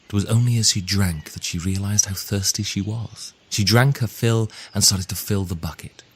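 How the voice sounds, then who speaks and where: A man narrating a fairy tale in a measured, theatrical storytelling manner. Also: on the low side, slight background noise. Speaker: storyteller and author reading his own tale. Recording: music under the voice. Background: music.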